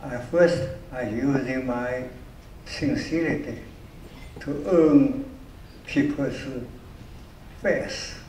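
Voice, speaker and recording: Slow older man, heavy Chinese accent. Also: medium pitch, a little mellow. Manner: halting, repeats words, broken English. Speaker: award honoree speaker. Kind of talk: speech with audience Q&A. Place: event hall. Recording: echoey room from far off, faint noise.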